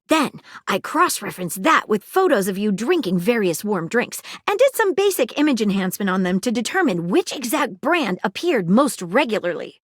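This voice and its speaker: A woman's voice: on the high side, no echo, clean studio sound. A woman voicing an earnest, eager magnifying glass detective in a video game, polite and precise, with an awkwardly romantic streak. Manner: precise, polite, earnest, slightly formal phrasing. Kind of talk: video game character voice acting.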